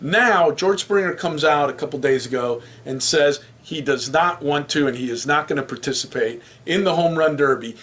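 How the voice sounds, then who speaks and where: A man's voice: on the high side, moderate pace, few pauses, fairly bright tone. A man hosting a casual sports talk show, joking and steering the discussion with questions. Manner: joking, runs the segments and asks the questions. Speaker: podcast host.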